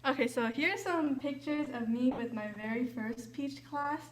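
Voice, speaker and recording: A woman's voice: high voice, measured pace, a little hiss or hum. A young woman, a volunteer teacher, giving a casual, chatty talk, often saying "like" and "um". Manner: chatty, uses filler like and um. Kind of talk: casual presentation. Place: hotel event room.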